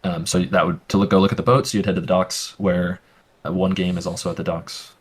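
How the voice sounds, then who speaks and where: Deep-voiced game master, relaxed, full of ums. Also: male voice, a little fast, husky. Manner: casual, explains rules with many fillers. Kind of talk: narrating a tabletop game.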